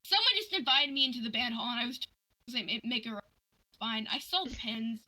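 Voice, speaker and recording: Woman, very bright forceful voice, casual streamer storytelling, acts out quoted dialogue. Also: on the high side, fast talker, poor audio. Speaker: streamer telling personal stories. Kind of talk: casual storytelling chat on a livestream.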